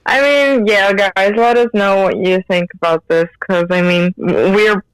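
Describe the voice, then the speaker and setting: A young adult woman with a Dutch accent, co-hosting a student radio show in relaxed, slangy casual chat. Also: medium pitch, a little slow, smooth, no room sound, low-quality recording. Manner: relaxed, uses slang and fillers.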